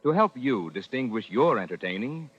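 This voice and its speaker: A man's voice: mid-range voice, very animated, normal speed, slightly rough. A man reading a 1940s radio advertisement as an announcer, with a declamatory, polished delivery. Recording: muffled old radio recording, loud music.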